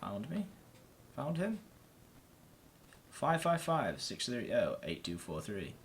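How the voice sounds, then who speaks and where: A man's voice: medium pitch, huge pitch swings, takes it slowly, slight background noise. A man giving casual let's play commentary, muttering sweary reactions as he plays. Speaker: gaming video host.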